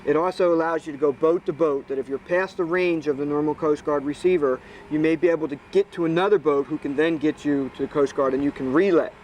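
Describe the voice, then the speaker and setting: A man's voice: a little high, quick pace, hardly any pauses, darkish tone, slight background noise, poor audio. A man giving casual, practical technical explanations outdoors as a hands-on expert. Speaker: boat rigger, expert guest. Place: outdoors on a boat.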